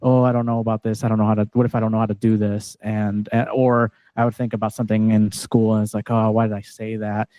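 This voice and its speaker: A man's voice: on the low side, little pitch movement, quick pace, few pauses, dark tone, no room sound. A man whose first language is Spanish, hosting a casual podcast conversation in a reflective, searching way with frequent restarts. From California, partly raised in Mexico. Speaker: podcast host.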